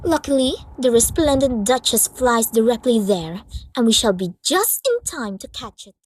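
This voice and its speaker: A woman's voice: fairly high pitch, animated, normal speed, breathy edge. A woman voicing a refined young female cartoon pony fashionista, gushing and theatrical in a comic dub. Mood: frantic. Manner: posh, gushing, melodramatic.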